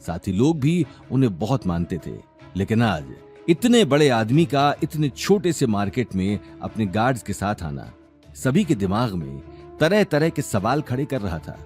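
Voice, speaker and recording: A man's voice: mid-range voice, big pitch swings, moderate pace, a little mellow, faint music behind. A man narrating a scripted audio drama series in a dramatic storytelling style.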